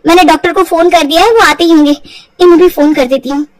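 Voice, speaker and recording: Lady playing a dutiful young daughter in law, high voice, earnest and caring, story style, no room echo. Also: quick pace. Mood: reassuring. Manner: earnest, attentive, expressive. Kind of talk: acted Hindi audio storybook.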